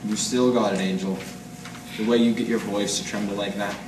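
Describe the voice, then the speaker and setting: A man's voice: on the low side, fairly even intonation, a little fast, close to an everyday voice. A man playing a world-weary, cynical small-time detective in 1940s radio noir style, with wry, clipped hard-boiled slang. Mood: accusing, bitter. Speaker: amateur stage radio-drama actor. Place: live performance in an art gallery. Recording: distant mic in echoey noisy room.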